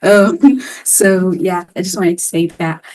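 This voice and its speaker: A woman's voice: fairly low pitch, slow pace, a little mellow. A woman hosting a casual interview, effusive and encouraging, with many fillers and "like"s. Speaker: interview host.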